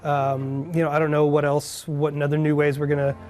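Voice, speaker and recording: Clear music plays behind a man giving an interview. His voice is a little high for a man, and he talks reflectively, as a developer discussing work. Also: completely flat delivery, brisk, a little mellow. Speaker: franchise developer.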